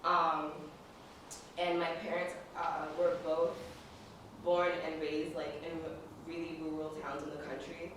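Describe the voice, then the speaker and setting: A young woman from the Dominican Republic sharing her story in a casual talk, relaxed and full of fillers. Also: low-pitched, a little slow, noisy background. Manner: relaxed, with many fillers and small laughs. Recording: echoey room, from far off.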